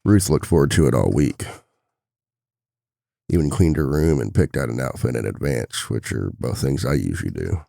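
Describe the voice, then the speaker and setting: A man playing a devoted, worried single-dad boyfriend in an intimate ASMR roleplay, confiding softly with a gravelly voice. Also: animated, normal speed, no echo, studio quality, full character voice. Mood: resigned, exasperated. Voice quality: very deep, gravelly.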